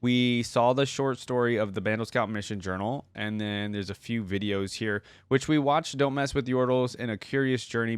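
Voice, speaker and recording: A man's voice: mid-range voice, dry recording. A man hosting a reaction video in a casual, easygoing, chatty way, sometimes reading aloud.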